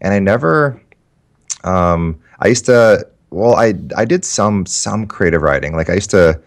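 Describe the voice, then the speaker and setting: A man's voice: on the low side, animated, a little slow. A man in his thirties whose first language was Japanese, a guest writer telling his story in a loose, rambling way with many restarts. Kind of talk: casual interview talk.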